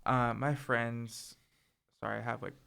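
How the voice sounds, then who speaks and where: A young man co-hosting a casual chat, soft-spoken and hesitant, filling his talk with "like" and "um". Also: mid-range voice, little pitch movement, unhurried, gravelly, clean tone. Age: young adult. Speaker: podcast co-host.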